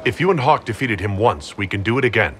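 A fairly low male voice for a calm heroic leader in a fantasy game. He sounds measured and supportive, with lively movement in his pitch. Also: a little hiss or hum. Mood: serious. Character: calm, reassuring young-to-middle-aged male fantasy leader. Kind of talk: video game dialogue acting.

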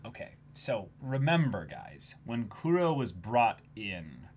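A young man talking casually as co-host of a livestream, in a rambling, jokey, animated way. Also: lowish voice, slow pace, a little hiss or hum. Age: young adult. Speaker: co-host of a manga reading stream. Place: indoor room, seated before a backdrop.

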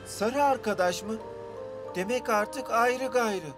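A woman's voice: fairly high pitch, takes it slowly. A woman voicing a mischievous, playful young male car in a dubbed children's cartoon, with a bouncy, teasing way of talking over music. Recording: bright music bed under voice.

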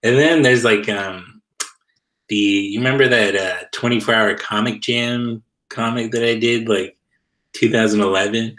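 A man's voice: lowish voice, fairly even intonation, measured pace, fairly bright tone, low-quality recording. A man chatting casually as an interview guest on a video call, in a loose, slangy way peppered with "like". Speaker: interview guest, comic creator. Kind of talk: casual chat.

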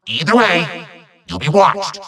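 A man voicing a bombastic, petulant little evil sorcerer from a video game, ranting and gloating in hammy, drawn-out threats with a gravelly, sharp voice. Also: high-pitched, sing-song, distant mic, exaggerated voice. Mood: menacing, smug. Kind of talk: theatrical video game villain monologue.

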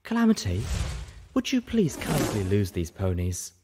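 A man voicing a refined, polite female unicorn with a smooth, warm voice in an audiobook. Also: on the low side, huge pitch swings, normal speed, noisy background. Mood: silky.

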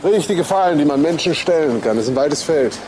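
An actor playing a brisk police detective who asks clipped, probing questions in a crime radio drama. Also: male voice, a little high, expressive, roomy sound, heavy background noise, close to an everyday voice.

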